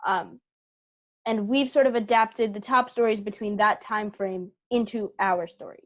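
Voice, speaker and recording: A young woman with a medium-pitched voice speaks as an interview guest. She explains things conversationally and carefully, pausing between phrases as she puts her thoughts together. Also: fairly even intonation. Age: young adult. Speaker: theater performer and interview guest.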